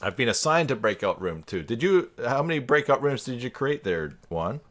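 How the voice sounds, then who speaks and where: A man's voice: mid-range voice, big pitch swings, moderate pace, fairly bright tone. A man hosting a live stream, chatting informally and jokingly with a group on a video call.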